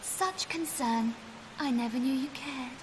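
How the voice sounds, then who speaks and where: A woman's voice: high-pitched, unhurried, background noise. An actress playing a plucky, sarcastic young girl adventurer in a video game, with dry, teasing delivery. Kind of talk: video game cutscene acting.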